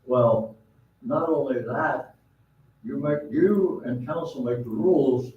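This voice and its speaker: A man's voice: medium pitch, takes it slowly. A man speaking at public comment in a council meeting, blunt and complaining, with hesitant fillers. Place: echoey council chamber. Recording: dull, echoey hall from far off.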